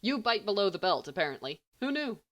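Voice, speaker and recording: A woman's voice: mid-range voice, big pitch swings, a little fast, a bit bright, dull audio. A woman voicing a brash, joking teenage boy in audiobook dialogue, rambling and emphatic. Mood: teasing.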